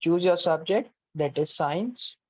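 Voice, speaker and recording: A man's voice: fairly high pitch, lively intonation, takes it slowly, no room sound. Man giving patient step-by-step instructions. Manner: patient, repeats "okay" often, step-by-step instructions. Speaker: online course coordinator. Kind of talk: online class platform walkthrough. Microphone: video call mic. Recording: dull lo-fi video call, dry.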